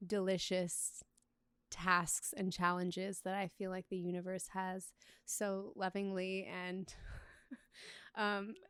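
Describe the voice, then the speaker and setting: A young adult woman, a relationship coach, speaking as a guest in a calm, reflective, soft-spoken way. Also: medium pitch, clean tone, no echo, studio quality. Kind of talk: interview conversation.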